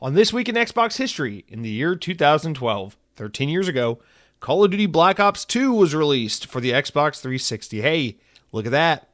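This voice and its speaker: A man's voice: fairly high pitch, very animated, moderate pace, fairly bright tone, no echo, clean studio sound, faint music behind. A man in his thirties hosting a casual solo podcast, rambling and swearing loosely, tired and coming down with a head cold. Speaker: podcast host.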